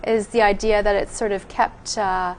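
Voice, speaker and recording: A woman hosts an interview show, asking probing follow-up questions in an easy conversational voice of middle pitch. A faint noise hangs behind her. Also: flat delivery, moderate pace. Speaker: talk show host.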